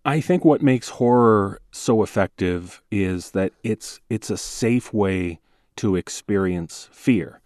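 Deep-voiced man explaining in an interview. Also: takes it slowly, a little mellow, no room sound, studio quality. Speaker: horror podcast host, interview guest. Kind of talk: thoughtful interview conversation.

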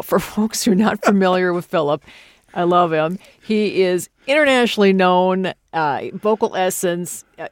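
A woman's voice: on the low side, no room sound. Woman, American accent, radio host, conversational, effusive exclamations, dry studio-style sound.

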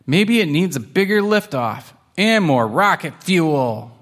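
A man voicing a kind and patient grandmother cat in a storybook read-aloud, speaking gently and encouragingly. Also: a little high, expressive, slow pace, voice pushed up, exaggerated voice.

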